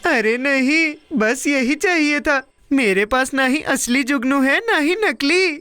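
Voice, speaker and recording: A woman voicing an eager, dramatic little boy in a children's cartoon dub, with a childlike, expressive delivery. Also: sits high, takes it slowly. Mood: disappointed. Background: music.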